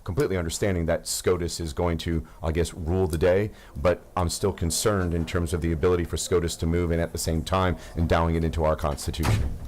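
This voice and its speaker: A man presenting a resolution to a legislative committee, speaking haltingly with many restarts and repeated phrases. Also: low voice, little pitch movement, a little mellow, slight background noise. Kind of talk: committee hearing testimony.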